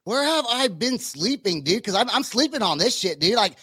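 A man's voice: high-pitched, sing-song, moderate pace, sharp tone, slightly rough, dry recording, clean studio sound. A man from California hosting a casual rock podcast, talking in a slangy, profane, informal way. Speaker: podcast host.

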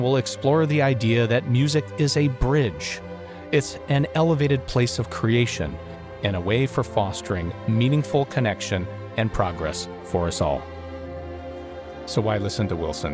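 Formal podcast host, music under him. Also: male voice, medium pitch, animated. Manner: measured, formal announcer delivery. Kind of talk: scripted show intro and interview questions.

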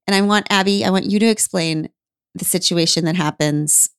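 A woman's voice: fairly low pitch, moderate pace, clean tone, dry recording, studio quality. A woman hosting a podcast conversation, leading her guest with wry, lightly dramatic asides. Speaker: podcast host. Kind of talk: conversational interview.

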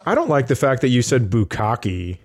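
A man's voice: mid-range voice, sing-song, normal speed. A man co-hosting a comedy podcast, riffing casually with mock quotes and comic scenarios. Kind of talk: casual joking banter.

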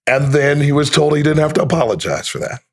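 A man's voice: fairly high pitch, sing-song, quick pace, gravelly, slightly rough. A man talking casually as a podcast guest, telling stories like a sports broadcaster in short, clipped phrases with wry, slangy asides. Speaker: basketball radio play-by-play announcer, guest.

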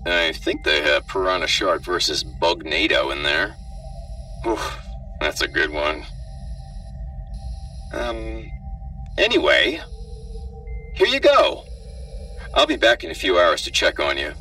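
A man's voice: sits low, big pitch swings, takes it slowly, long pauses, pushed and bright, far from the mic, faint music behind, full character voice. A man voicing a callous, flippant government doctor in a hazmat suit, with a robotic, matter-of-fact delivery in a horror story. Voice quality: robotic, muffled through a suit. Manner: matter-of-fact, darkly joking.

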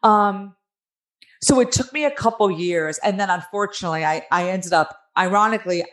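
Raspy, nasal woman with a Jersey accent. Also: fairly low pitch. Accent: New Jersey. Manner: earnest, emphatic, with many fillers. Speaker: guest author. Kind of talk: candid interview storytelling.

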